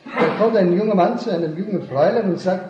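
A man's voice: high voice, quick pace, noisy background. A man giving a seminar lecture, explaining step by step, repeating key points and checking whether the audience understands. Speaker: seminar speaker. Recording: echoey hall, dull lo-fi sound.

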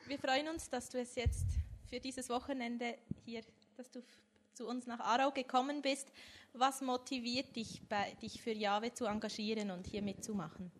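A woman interviewing a guest preacher in a soft-spoken way. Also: fairly high pitch, steady tone, normal speed, a little hiss or hum.

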